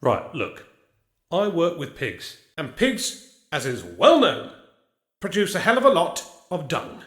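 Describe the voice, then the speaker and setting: Man playing a blunt peasant, highish, animated voice. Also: slow, reverberant, full character voice. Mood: defensive. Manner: blunt, rough working-class speech. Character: blunt, aggrieved, lowly pig-farming peasant serf. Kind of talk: comedy audio drama acting.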